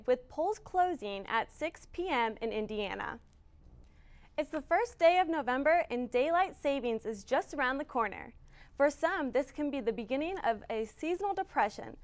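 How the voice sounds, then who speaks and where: Young woman anchoring a newscast. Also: fairly high pitch. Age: young adult. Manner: smooth anchor delivery, tossing to reporters. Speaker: news anchor. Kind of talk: scripted news reading.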